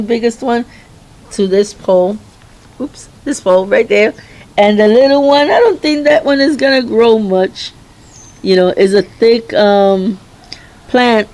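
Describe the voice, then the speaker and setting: A middle-aged woman with a hoarse voice giving a rambling, chatty garden tour outdoors, often stopping and restarting her sentences. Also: medium pitch, a little mellow. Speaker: home gardener. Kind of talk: casual garden tour vlog.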